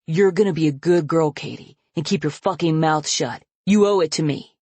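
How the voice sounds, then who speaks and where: A woman's voice: mid-range voice, moderate pace, no echo, pitched above the performer's own voice, close to an everyday voice. A woman voicing a furious, abusive middle-aged father in a story reading, speaking in a snarling, menacing way. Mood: menacing, angry.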